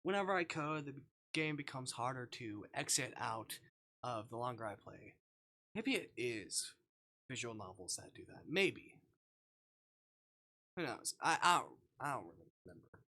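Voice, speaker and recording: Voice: medium pitch, very animated, normal speed, pushed and bright. A live streamer chatting casually while playing visual novels, rambling and thinking aloud.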